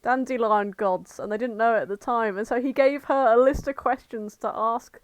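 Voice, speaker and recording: A woman co-hosting a podcast in casual chat, excitable, giggly and blunt, with swearing. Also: a little high, moderate pace, dry recording. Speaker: podcast co-host. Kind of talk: casual chat about a TV series.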